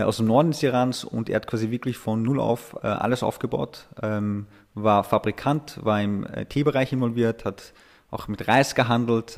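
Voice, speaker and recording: A man talks casually as an interview guest. He hesitates often and fills pauses with sounds. He laughs easily between his thoughts. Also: medium pitch, creaky, darkish tone. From Austria.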